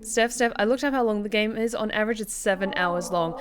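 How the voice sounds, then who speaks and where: A woman's voice: mid-range voice, fairly quick, crowd noise. A woman hosting a casual game livestream, chatting informally in a rambling way. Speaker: streamer and host.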